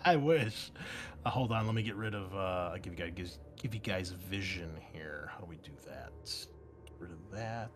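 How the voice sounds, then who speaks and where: A man's voice: sits low, moderate pace, noisy background. A man narrating a tabletop roleplaying game as game master in an informal, conversational way. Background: background music.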